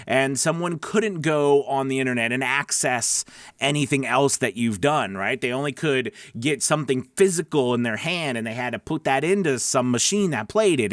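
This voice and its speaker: A man's voice: mid-range voice, a bit bright, dry recording. Man hosting an advice vlog, dry sound. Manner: conversational, emphatic, checks in with "right?" and "you know". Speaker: host of a voice-over advice show. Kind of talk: instructional vlog talk to viewers.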